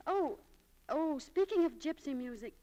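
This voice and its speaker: An American actress playing a proud, romantic, high-strung young shopgirl in a 1940s radio drama, with a dramatic, lofty manner. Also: animated, moderate pace, mellow. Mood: dreamy.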